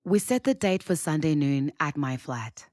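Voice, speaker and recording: A woman's voice: low voice, lively intonation, moderate pace, darkish tone, dry recording, clean studio sound. A woman in her twenties from Zimbabwe playing a candid, smitten young woman in an audio drama, confiding and playful. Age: 20s. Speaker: lead actor and first-person narrator. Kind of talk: audio drama narration and dialogue.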